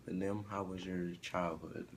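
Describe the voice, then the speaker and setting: A man's voice: low-pitched, steady tone, unhurried, noisy background. A man acting as a student interviewer, asking short plain questions for an oral history project.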